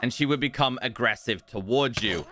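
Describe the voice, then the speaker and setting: A man giving game showcase commentary as a video host, in an expressive, emphatic presenter's delivery. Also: mid-range voice, normal speed, background noise. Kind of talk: game showcase commentary, part scripted narration, part live reaction. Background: music.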